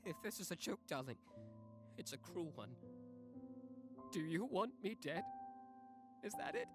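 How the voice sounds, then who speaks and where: Visual novel role: melancholy undead husband played by a woman, pleading, very animated pitch, prominent piano underscore. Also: a little slow. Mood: hurt, pleading. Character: anguished dead husband, wounded and pleading. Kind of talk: dramatic visual novel voice acting. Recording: soft voice under loud piano music.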